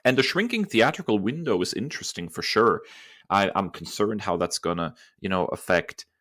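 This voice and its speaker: A man with a deep voice speaks as a podcast co-host. The sound is dry, with very little room in it. He talks in a casual, conversational way, stressing his opinions. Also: expressive, normal speed, fairly bright tone, no echo.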